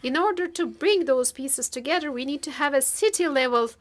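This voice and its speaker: A woman working as a live interpreter of a formal keynote, speaking in halting, piecemeal phrases with stretched words. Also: high-pitched. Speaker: simultaneous interpreter.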